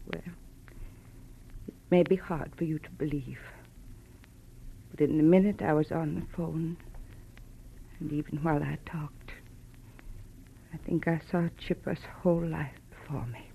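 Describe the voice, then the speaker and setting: A woman's voice: low voice, fairly even intonation, unhurried, creaky, long pauses, dull audio. An actress playing a middle-aged, genteel shopkeeping mother with polite, careful restraint in 1940s radio drama. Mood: polite, subdued. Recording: old radio recording with faint noise.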